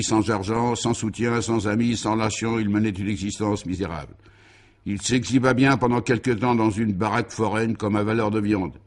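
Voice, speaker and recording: A man narrating a scripted absurd comic radio serial in a mock-solemn, grandiloquent and emphatic way. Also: lowish voice.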